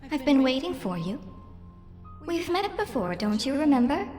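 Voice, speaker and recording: Mysterious welcoming storyteller played by a woman, gentle, cryptic, theatrical, cavernous reverb, clear music bed. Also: high voice, big pitch swings, normal speed. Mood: mysterious, inviting. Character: mysterious, welcoming female storyteller awaiting a young adventurer. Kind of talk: scripted fantasy podcast trailer monologue. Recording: long hall reverb, music underneath.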